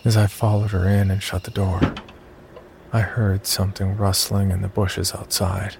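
A man playing the uneasy, cautious narrator of a scripted horror story, telling it in a gravelly voice. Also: low voice, flat delivery, moderate pace, noisy background. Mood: tense, measured. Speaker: first-person narrator and lead character.

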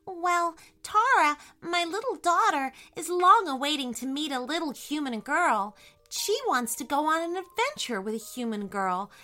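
A woman's voice: high-pitched, animated, moderate pace, bright, forceful tone, faint music behind, voice pushed up. A woman voicing a playful, polite little mother hen in an emotional, exclaiming storybook style. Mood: pleading, hopeful.

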